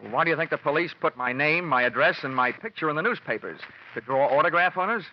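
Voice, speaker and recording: An actor playing a cocky, hot-tempered American insurance investigator in 1940s radio detective style, brash, sardonic and hard-boiled. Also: male voice, fairly high pitch, expressive, fast talker, lightly acted. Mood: tough, taunting. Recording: muffled, noisy vintage radio recording.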